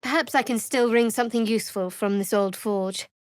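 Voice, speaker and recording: An actress voicing a guarded, wry female cleric of a dark goddess in a fantasy video game, with a cool, measured, sardonic delivery. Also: fairly high pitch, smooth, no echo, clean studio sound, close to an everyday voice. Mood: dry, pragmatic.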